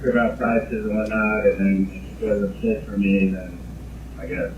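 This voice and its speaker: A man's voice: lowish voice, level tone, moderate pace, a little hiss or hum. Muffled, echoey male caller chatting casually. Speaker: car-buying customer calling in. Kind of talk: casual phone chat. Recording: muffled, echoey recorded phone call.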